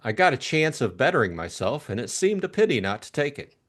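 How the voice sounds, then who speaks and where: A man's voice: medium pitch, very animated, a little fast, a bit bright. A man voicing a polite, deferential former footman in an audiobook, with servile, clipped replies. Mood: polite, guarded. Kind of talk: audiobook character acting.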